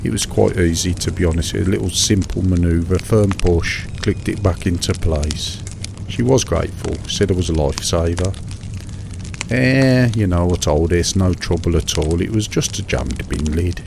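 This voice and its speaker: A middle-aged British man in a monotonous, soothing one-sided chat, hesitant and rambling, repeating himself over mundane small details. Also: sits low, moderate pace, vocal fry. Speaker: host of a sleep relaxation show. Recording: steady background noise, fireside crackle.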